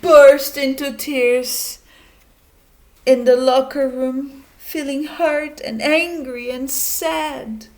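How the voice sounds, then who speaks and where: A woman reading a children's picture book aloud, expressive and dramatic, with emphatic pauses. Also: high-pitched.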